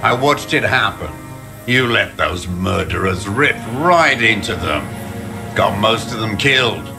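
An actor playing a bitter, sickly old communist deserter in a video game, scornful and ranting. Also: male voice, lowish voice, lively intonation, measured pace, creaky, music in the background. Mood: bitter, accusing. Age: older. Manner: scornful, ranting, spitting insults. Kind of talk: dramatic video game voice acting.

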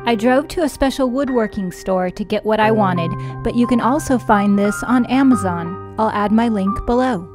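A woman's voice: on the high side, moderate pace, few pauses, over music. A woman reading a scripted how-to voice-over in a matter-of-fact, instructional way. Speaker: DIY tutorial narrator.

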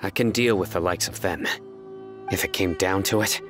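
An actor playing a stern, reserved young warrior in a video game, speaking in a solemn, restrained way. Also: male voice, low voice, normal speed, over music, close to an everyday voice.

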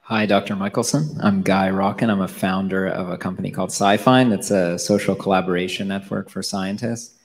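A man in the audience asks a rambling question, pausing often. His voice is very low and resonates in a hall with long reverb. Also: steady tone. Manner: hesitant, many fillers. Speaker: audience member asking a question. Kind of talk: question from the floor.

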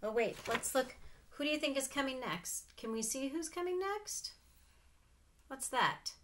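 A middle-aged woman reading a picture book aloud to children, expressive and sing-song, with casual asides. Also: high-pitched, unhurried, slight background noise. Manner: expressive, sing-song, asking the listeners questions. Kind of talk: animated read-aloud storytelling with casual asides. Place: small room at home with sloped ceiling.

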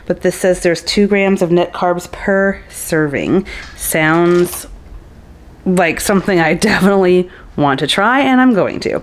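A woman's voice: fairly low pitch, measured pace. Chatty woman narrating a grocery haul. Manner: conversational, informal, thinking aloud. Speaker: vlogger, host of a grocery haul. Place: home kitchen, indoors.

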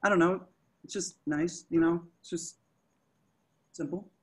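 Man playing a high-voiced awkward young slacker. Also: fairly even intonation, measured pace, a bit bright, husky. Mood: awkward, casual. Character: awkward, defensive young slacker guy in his twenties. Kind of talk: table-read acting over video call. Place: remote group video call.

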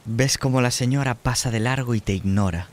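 A man narrating a tabletop horror game as game master, measured and descriptive. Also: mid-range voice.

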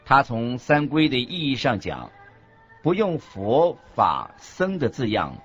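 A man's voice: medium pitch, sing-song, slow pace. A man reading a scripted religious teaching as the narrator of a radio lecture. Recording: dull lo-fi audio with background music.

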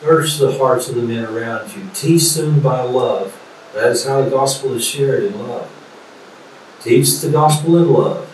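An older man, a preacher, gives an earnest sermon with deliberate repetition over a video call. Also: mid-range voice. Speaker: professor and preacher. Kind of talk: sermon, graduation address. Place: indoor study or office, video call. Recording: echoey video call, distant, some noise.

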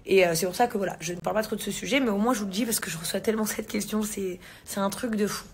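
A woman's voice: medium pitch, rapid-fire. A woman in her thirties, born in England, chatting casually to her followers into a phone camera in a large living room, with lots of fillers, restarts and slang. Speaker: vlogger. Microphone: phone camera at arm's length.

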